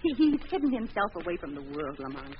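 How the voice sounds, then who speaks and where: Casting: devoted, pleading young woman, very expressive, halting, dull vintage radio drama sound. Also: lowish voice. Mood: troubled. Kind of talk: 1940s radio melodrama acting. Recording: dull, hissy old radio recording.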